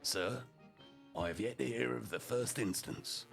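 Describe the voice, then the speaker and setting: Gravelly man as a pompous, defiant baby. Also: medium pitch, a little slow, faint music behind, pitched above the performer's own voice. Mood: defiant, firm. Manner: pompous, formal wording peppered with swearing. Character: precocious, defiant, pompous baby boy of two weeks.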